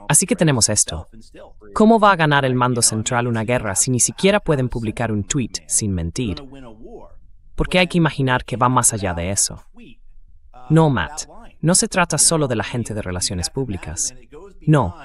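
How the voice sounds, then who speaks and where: Synthetic male voice with lively intonation. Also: medium pitch. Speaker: translation voice-over. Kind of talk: dubbed political interview, conversational commentary.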